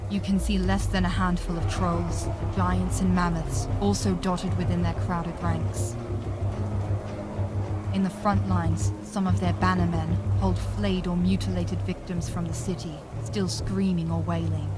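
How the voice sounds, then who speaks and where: A woman's voice: lowish voice, level tone, a little slow, loud music behind the voice. A woman narrating a scripted fantasy audio adventure in a grave, dramatic storytelling manner.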